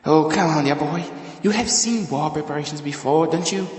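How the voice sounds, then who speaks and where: A man playing a fussy, excitable elderly time-traveller who stammers and repeats himself in a fan audio drama. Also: on the high side, moderate pace, natural voice. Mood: indignant, urgent. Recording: echoey room with long reverb.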